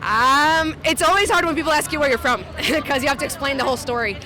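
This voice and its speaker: A woman's voice: sits high, quick pace, sharp tone, poor audio. A young woman chatting in a street interview, full of fillers. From Mexico. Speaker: student performer. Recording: outdoors with music and crowd.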